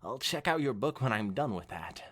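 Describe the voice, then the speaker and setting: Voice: medium pitch, sing-song, moderate pace, fairly bright tone, voice pushed down. A reader voicing a flustered, evasive female unicorn pony, a guilty bookworm who stalls and draws words out.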